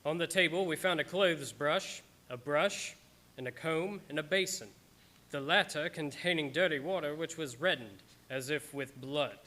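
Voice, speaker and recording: An actor playing a sober vampire hunter, narrating a radio play in a measured, descriptive way. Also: male voice, fairly high pitch, sharp tone. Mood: grim.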